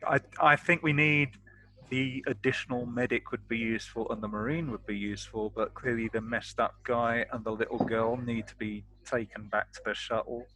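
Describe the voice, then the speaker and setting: Man, tabletop player, casual discussion, cautious, questioning, mid pitch, bright. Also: fairly even intonation, moderate pace, a little hiss or hum.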